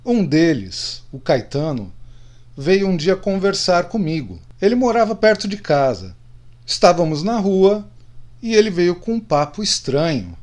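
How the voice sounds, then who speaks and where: A middle-aged man played by an actor in a radio play, sharing secrets among old friends. His voice sits rather high for a man and moves up and down in a lively way. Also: a little slow. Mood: confessional. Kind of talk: radio drama acting.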